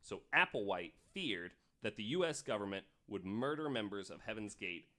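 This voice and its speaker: Low-pitched man presenting a podcast. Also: very animated, normal speed, sharp tone. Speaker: podcast host. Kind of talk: casual podcast storytelling from research notes.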